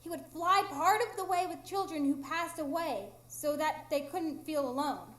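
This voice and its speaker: A woman's voice: high-pitched, normal speed, fairly bright tone. A woman playing a tiny, mischievous, blunt fairy with a childlike, teasing manner in a live radio drama. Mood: blunt, matter-of-fact. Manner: childlike, teasing, matter-of-fact. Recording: echoey room, live stage recording.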